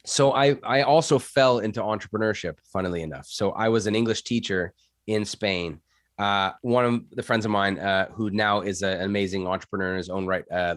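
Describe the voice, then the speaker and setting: A man's voice: on the low side, no echo, studio quality. A Canadian man speaking as an interview guest, telling stories in a casual, candid, conversational way and often interrupting himself. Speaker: interview guest, entrepreneur and former actor.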